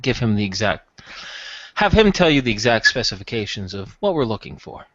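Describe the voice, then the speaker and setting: Tabletop game part: polite, smooth-talking space traveller acted by a man, lively pitch, careful wording, slightly muffled. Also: brisk, no echo. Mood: businesslike, measured. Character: Xavier, polite smooth-talking spacefaring customer seeking contraband.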